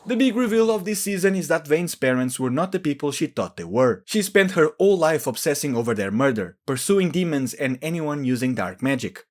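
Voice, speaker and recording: A man presenting a scripted video essay as a commentator, giving his own opinions on game lore. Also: on the high side.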